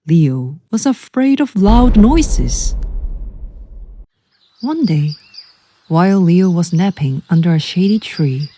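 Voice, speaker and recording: Slow, expressive woman storyteller. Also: on the low side. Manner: deliberate, expressive phrasing. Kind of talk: children's storybook narration. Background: background music.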